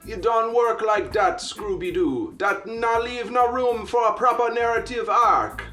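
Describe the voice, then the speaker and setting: A man's voice: very high voice, measured pace, sharp tone, faint music behind, heavily acted. A man playing an ominous warning spirit who chants mock prophecy in archaic words for an amateur radio play. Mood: ominous, teasing.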